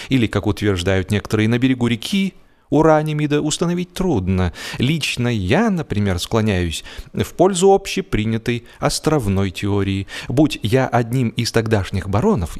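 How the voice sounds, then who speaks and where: A man reading a humorous literary classic as an audiobook narrator, expressively and wryly, with drawn-out pauses and emphatic phrasing. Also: medium pitch.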